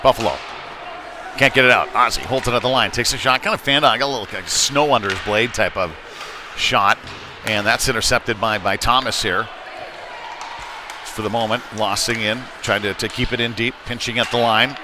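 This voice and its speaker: A man's voice: mid-range voice, normal speed. A man giving live play-by-play commentary of a youth ice hockey game. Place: indoor ice rink. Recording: noisy rink ambience, bouncing knocks.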